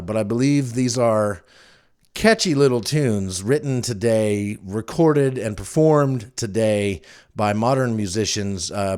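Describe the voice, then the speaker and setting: A man's voice: medium pitch, a little mellow. A man hosting a podcast, telling stories casually in an informal, wry and amused way. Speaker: podcast host. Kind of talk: casual rambling storytelling to listeners.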